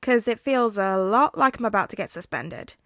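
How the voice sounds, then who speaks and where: Woman as an uneasy young man, mid pitch. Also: expressive, normal speed, vocal fry, a bit bright, no echo, clean studio sound. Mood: nervous. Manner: casual, uncertain.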